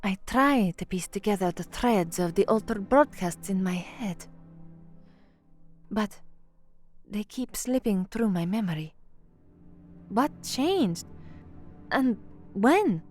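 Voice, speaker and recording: A woman's voice: medium pitch, animated, slow pace, long pauses. Woman acting earnest young radio newsreader, music underneath. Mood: troubled, introspective. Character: young novice radio newsreader, earnest, uneasy at hidden truths. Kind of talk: audio drama acting, formal newsreading and introspective narration.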